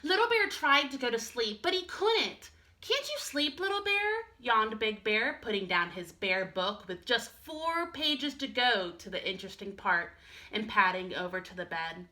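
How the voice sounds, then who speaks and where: A woman teacher reading a picture book aloud to young children, expressive and speaking to them directly. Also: medium pitch, normal speed. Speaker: first-grade teacher.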